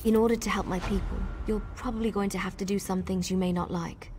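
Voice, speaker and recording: An actress playing a cautious, determined female wood elf scout in video game dialogue, speaking in a measured, earnest way. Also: medium pitch, fairly even intonation, darkish tone, faint music behind, lightly acted. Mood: guarded. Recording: game dialogue over clear ambient noise.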